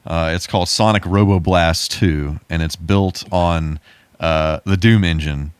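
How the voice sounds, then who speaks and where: A man's voice: sits low, fairly even intonation, measured pace, clear, resonant tone, dry recording. A man, talking casually and informally as a podcast co-host. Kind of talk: casual chat.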